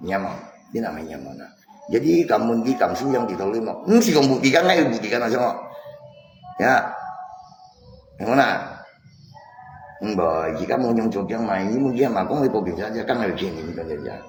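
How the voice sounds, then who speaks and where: A man's voice: lowish voice, very animated, brisk, long pauses, noisy background. A man chatting casually and insistently on a live stream, often repeating himself. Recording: echoey room, music mixed in. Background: music.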